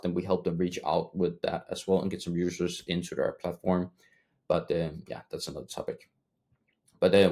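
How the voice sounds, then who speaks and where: A man, not an English speaker, explaining a business method in a loose, rambling way, often pausing with fillers and correcting himself mid-sentence. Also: low-pitched, darkish tone. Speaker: agency owner teaching cold email outreach. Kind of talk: casual tutorial talk.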